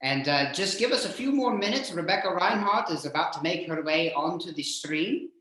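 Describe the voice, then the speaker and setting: Male horror-show host, higher-pitched voice, hammy and jokey, heavily echoing room. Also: expressive, moderate pace, pushed and bright. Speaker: host of a horror radio-play stream. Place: indoors, remote video call stream. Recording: very echoey, boomy room sound.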